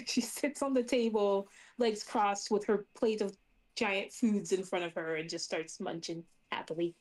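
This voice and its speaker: A woman's voice: medium pitch, fairly even intonation, unhurried, a little mellow, poor audio. A woman playing a tabletop role-playing game, chatting and improvising casually in a playful way.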